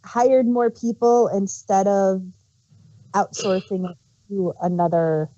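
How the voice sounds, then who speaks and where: A woman's voice: medium pitch, slow pace, mellow, slight background noise. A woman talking casually as co-host of a review podcast, in an informal discussion. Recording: dull, dry lo-fi microphone.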